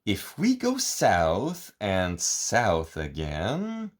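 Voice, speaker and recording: A man voicing Goody, a small, curious and cheerful character in a children's story, with a bright, excitable delivery. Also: on the low side, very slow, full character voice. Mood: excited.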